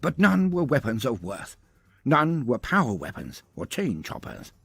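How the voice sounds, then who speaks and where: A man's voice: medium pitch, wildly swooping pitch, measured pace, creaky, breathy edge, no echo, faint music behind. A man reading scripted fantasy storytelling in a theatrical, grandiloquent manner with wry asides. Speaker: lore video narrator. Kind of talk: dramatic scripted story narration.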